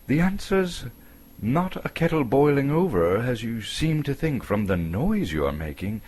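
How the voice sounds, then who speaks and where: A man plays a small, plucky hobbit adventurer in a fantasy storybook. His voice is raised high and jumps around in pitch. He sounds impatient and emphatic, exclaiming his lines. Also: very animated, a little slow, darkish tone.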